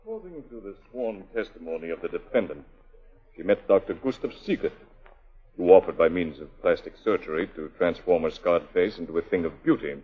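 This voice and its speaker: Casting: stern male judge, low husky voice, formal radio-play delivery, muffled vintage sound. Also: expressive, a little fast. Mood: formal, firm. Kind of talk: 1940s radio drama acting. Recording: muffled old 1940s radio recording.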